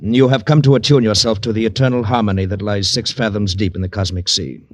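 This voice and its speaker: Casting: man to play pompous, muscular bogus yogi for old-time radio crime drama; deepish voice, archaic pronouncements, crackly recording. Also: fairly even intonation, fast, natural voice. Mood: lofty, commanding. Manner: pompous, archaic, oracular phrasing. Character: pompous muscular fake yogi mystic, scornful and menacing. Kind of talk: 1940s-50s radio detective drama acting.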